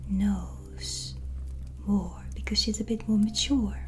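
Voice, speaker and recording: Casting: non-native English-speaking woman, breathy soft-spoken ASMR, gentle witch tarot reader, mellow and unhurried. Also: mid-range voice. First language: not English. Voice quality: breathy, whispered. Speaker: ASMR roleplayer giving a tarot reading. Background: soft relaxing music.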